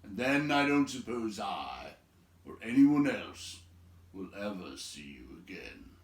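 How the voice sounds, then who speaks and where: A man voicing a brisk, bossy old wizard from a storybook, with an emphatic, scolding and teasing manner. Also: on the low side, very animated, echoey room, far from the mic. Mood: stern farewell.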